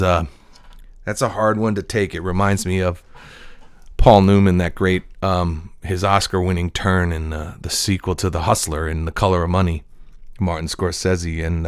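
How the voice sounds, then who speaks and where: A man's voice: deep voice, clean tone, dry recording, studio quality. A male voice-over actor talking in a casual, digressive solo monologue from his desk. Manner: digressive, reflective storytelling.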